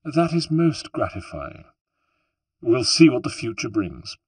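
Male reader plays a smug, pompous official, pitched higher and louder than his narration, with a husky edge. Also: sing-song, a little slow, dry recording. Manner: smooth, self-satisfied, then pleading.

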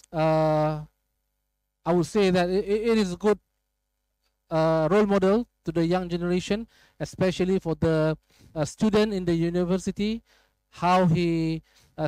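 Hesitant young man with a smooth voice. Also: a little high, a little mellow, dry recording, poor audio. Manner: hesitant, many filler sounds. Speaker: moderator. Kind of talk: live online summit interview. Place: studio set with chairs. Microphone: headset.

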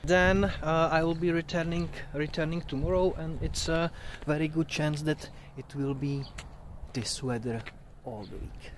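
A man's voice: fairly high pitch, fairly even intonation, a little slow, a little hiss or hum. A man in his forties with a Czech accent, a native Czech speaker talking casually to camera in a vlog. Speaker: vlogger and amateur pilot. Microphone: phone at arm's length.

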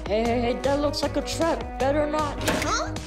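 A woman voicing a small, excitable, slightly whiny cartoon creature with a childlike air. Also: high voice, moderate pace. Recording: loud music under the voice.

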